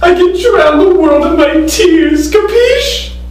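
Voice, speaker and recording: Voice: extremely high pitch, expressive, slow, faint music behind, heavily acted. An actor playing a widowed mother who wails dramatically over her grief, in an amateur stage play. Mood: grieving. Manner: mournful, dramatic. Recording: echoey room, distant mic.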